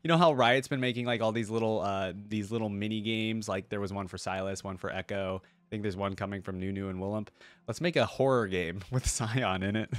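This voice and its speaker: Male reaction host, fairly low voice, slangy, expressive, unscripted commentary. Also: a little fast, no echo. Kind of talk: casual off-the-cuff reaction commentary.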